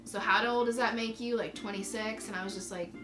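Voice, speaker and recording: A woman's voice: medium pitch, fairly even intonation, fairly quick. A woman in her twenties chatting casually and banteringly as a video co-host, answering viewer questions. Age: 20s. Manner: bantering, informal. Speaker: YouTube co-host. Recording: echoey room. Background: background music.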